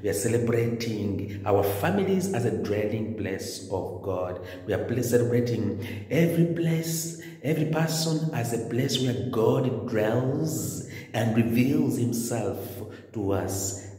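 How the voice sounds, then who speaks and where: A middle-aged male priest preaches with earnest, repeated phrases, filming himself on a phone held at arm's length. His voice is dark and mellow. The stone chapel around him rings with a long, echoing reverb. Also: medium pitch, expressive, measured pace. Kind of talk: video homily. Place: stone-walled chapel indoors.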